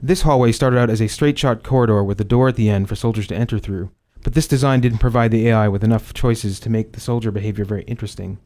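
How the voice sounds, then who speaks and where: A man's voice: lowish voice, fairly even intonation, quick pace, few pauses, darkish tone. A man reading scripted commentary as a game developer.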